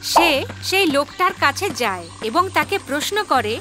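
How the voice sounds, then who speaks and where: A woman's voice: sits high, lively intonation, normal speed, over music. A woman narrating a scripted children's story as the storyteller.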